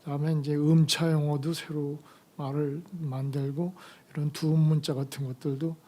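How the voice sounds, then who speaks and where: Hoarse older man with a mellow voice. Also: a little high, flat delivery. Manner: halting, slow delivery with many fillers. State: hoarse from a cold. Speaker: retired medical professor giving a conference lecture. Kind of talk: academic lecture.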